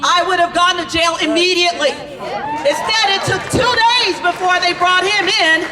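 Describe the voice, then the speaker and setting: An older woman, a grandmother and preacher, giving a fiery protest speech outdoors, often shouting in repeated phrases. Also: extremely high pitch. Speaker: preacher speaking at a rally. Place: outdoor rally at city hall. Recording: reverberant PA sound with loud crowd.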